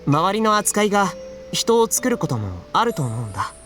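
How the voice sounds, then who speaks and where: Earnest, lively-pitched gentle schoolboy. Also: male voice, racing, soft background music. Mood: thoughtful. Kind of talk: Japanese visual novel voice acting.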